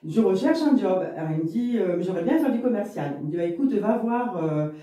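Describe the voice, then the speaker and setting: A woman's voice: medium pitch, animated, fast talker, mellow, heavy reverb, recorded from a distance. A woman in her fifties from Lille, telling her career story in a rambling, chatty way as an interview guest. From Lille, northern France. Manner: chatty and rambling, many fillers and asides. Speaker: guest, business owner in real estate.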